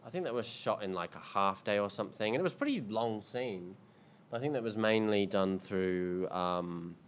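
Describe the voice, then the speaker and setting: Deep-voiced man, rambling. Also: lively intonation, normal speed, a little hiss or hum. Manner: rambling, hesitant, many ums and restarts. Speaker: filmmaker answering audience questions. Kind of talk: casual panel Q&A. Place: indoor screening event.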